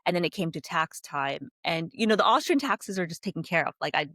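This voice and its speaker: Woman from california, company founder, chatty and animated, dry video call sound. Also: on the low side, fairly quick, dry recording. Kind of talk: casual video-call interview.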